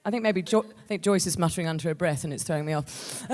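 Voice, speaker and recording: A woman's voice: fairly low pitch, big-room sound. Flustered woman giving an informal acceptance speech. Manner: self-deprecating, flustered, halting. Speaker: writer-performer accepting an award. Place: live on stage at an awards ceremony.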